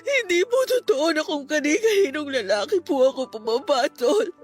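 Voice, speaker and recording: A woman's voice: high voice, lively intonation, normal speed. An actress playing a remorseful, ashamed young woman in a radio drama, with an emotional, fragile delivery. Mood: sobbing, pleading. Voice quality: tearful, breaking voice. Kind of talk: Tagalog radio drama acting. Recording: music playing behind the voice.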